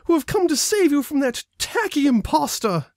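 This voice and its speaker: A man's voice: very high voice, sing-song, normal speed, dry recording, studio quality, pitched above the performer's own voice, exaggerated voice. A man voicing a haughty, indignant and dramatic lady unicorn in a grandiloquent manner for a storybook reading. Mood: defiant.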